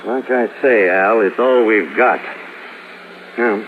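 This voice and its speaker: An actor playing a gruff, wry police lieutenant in a 1950s radio drama, questioning in a dry, clipped way. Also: male voice, fairly low pitch, takes it slowly. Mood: pressing, animated. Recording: noisy, phone-like vintage radio recording.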